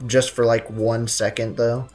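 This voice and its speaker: A woman's voice: rumbling low, little pitch movement, measured pace. A woman doing casual, slangy, sarcastic commentary as she streams a video game. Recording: faint game music behind.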